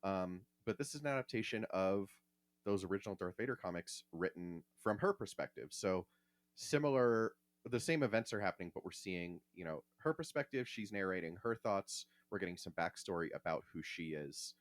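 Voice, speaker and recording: A man with a low voice co-hosts a podcast. He explains things casually and conversationally, in a dry recording with little room sound. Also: animated, normal speed, no echo. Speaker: podcast co-host.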